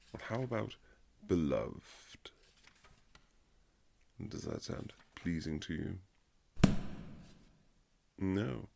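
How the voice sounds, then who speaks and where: A man playing a courtly Regency nobleman husband, tender and gently teasing, in a husky romantic roleplay with formal, old-fashioned phrasing. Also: lively intonation, extremely slow, long pauses, full character voice. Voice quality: very low, husky, gravelly. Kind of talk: romantic boyfriend ASMR roleplay, period drama.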